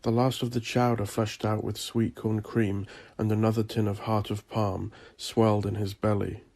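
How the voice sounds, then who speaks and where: A man reading dark fantasy horror as a scripted story, with a measured, deliberate delivery. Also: lowish voice, flat delivery. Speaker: audiobook narrator.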